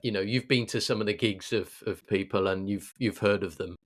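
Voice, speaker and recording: A man's voice: lowish voice, fairly quick. A man with a British accent chatting casually as a podcast co-host, given to jokey, sarcastic banter. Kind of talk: casual chat.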